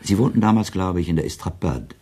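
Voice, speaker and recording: An ironic skeptic in a radio play. His extremely low, husky voice moves quickly and with lively inflection, dry and clipped as he needles his partner. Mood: puzzled. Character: skeptical, ironic male listener needling a storyteller. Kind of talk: German radio drama acting, dry dialogue.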